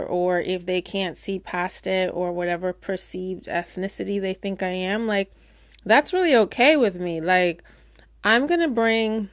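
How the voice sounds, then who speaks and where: A woman's voice: mid-range voice, no room sound, studio quality. Candid woman doula who stutters. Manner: stutters, warm and candid with playful asides. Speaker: doula and course teacher. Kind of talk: casual advice talk to camera.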